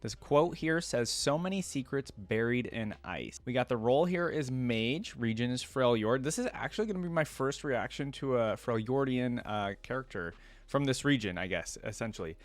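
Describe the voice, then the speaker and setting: Male reaction host, medium pitch, informal and conversational between read-aloud passages, dry recording. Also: no room sound. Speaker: host of a video game lore reaction series. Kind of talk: casual reaction commentary mixed with reading aloud.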